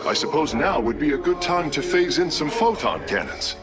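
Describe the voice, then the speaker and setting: An actor playing an eager, analytical alien engineer in a sci-fi game, speaking formally and precisely. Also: male voice, fairly high pitch, very animated, normal speed. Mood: thoughtful, focused. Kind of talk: dramatic sci-fi game voice acting. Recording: loud game music behind.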